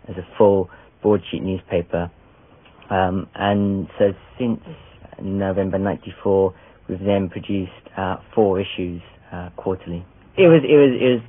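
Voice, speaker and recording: Male editor and artist, husky, very low, hesitant delivery. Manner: hesitant, many ums and restarts. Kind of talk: interview answers. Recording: tinny phone-like radio sound.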